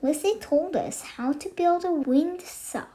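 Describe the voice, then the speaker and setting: Young girl, storybook reader, high-pitched and slow, deliberate delivery. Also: warm tone. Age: child, about 8. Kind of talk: storybook read-aloud.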